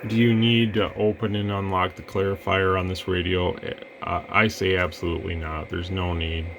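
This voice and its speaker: A man testing radio gear in a relaxed, rambling way, breaking into mic checks and counting. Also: low-pitched, normal speed, noisy background. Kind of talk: casual product demo.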